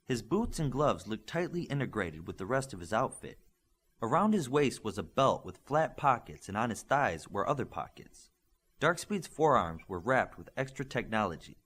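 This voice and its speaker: Man, audiobook narrator, mid-pitched voice, animated intonation, scripted fiction reading. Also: normal speed, breathy edge.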